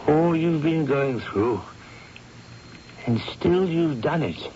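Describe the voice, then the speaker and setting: An actor playing a painter's level-headed, admiring friend in a radio mystery drama, in a measured theatrical manner. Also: male voice, on the high side, expressive, mellow. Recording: muffled vintage radio broadcast, faint noise.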